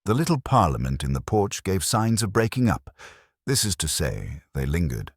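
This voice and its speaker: A man narrates a literary mystery with dry, understated wit. His voice is extremely deep and slightly raspy, with a lively melodic range, recorded close and dry. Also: normal speed, no room sound. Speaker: narrator and reader of a mystery story. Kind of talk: scripted literary narration with dry, wry humour.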